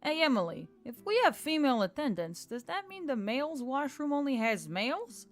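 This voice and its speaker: A woman voicing a gruff, brash female centaur in a story, with a blunt, slangy manner and a hot temper. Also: high-pitched, sing-song, fairly quick, faint music behind, pitched above the performer's own voice. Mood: amused.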